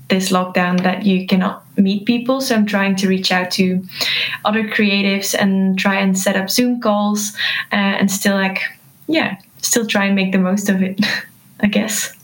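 A young woman from Belgium speaks English as a second language, her first being Dutch. She talks softly and hesitantly in a casual interview, often breaking into laughter. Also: medium pitch, low-quality recording. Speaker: musician guest.

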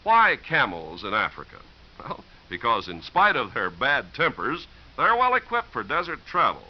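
Very animated American radio announcer, man. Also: medium pitch, moderate pace. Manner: explanatory, asks and answers rhetorical questions. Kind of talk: 1950s scripted radio narration. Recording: dull 1950s radio recording.

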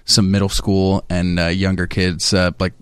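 A man in his twenties co-hosting a talk show, speaking earnestly and haltingly, with frequent restarts. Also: low-pitched, monotone, clean tone. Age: 20s. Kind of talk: casual conversation on a talk show.

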